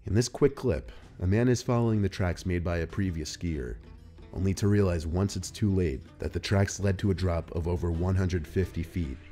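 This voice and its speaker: A man reading scripted narration in a measured, serious manner. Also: low voice, dark tone. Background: music.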